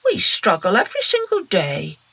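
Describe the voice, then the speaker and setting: A woman voicing an aggrieved male duck who speaks loudly and emphatically. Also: medium pitch, huge pitch swings, normal speed, creaky, breathy edge, heavily acted, low-quality recording. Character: indignant male duck, middle brother.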